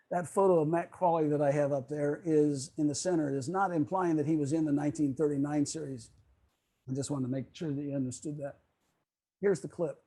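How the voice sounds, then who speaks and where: A man's voice: fairly high pitch, dark tone. A man presenting a prepared, informative history talk in an online video meeting.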